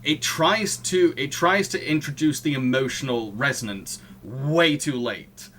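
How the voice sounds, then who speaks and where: A man's voice: mid-range voice, a little slow, fairly bright tone, slight background noise. A British man hosting a podcast, chatting casually and laughing often. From United Kingdom. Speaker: podcast host. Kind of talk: casual chat.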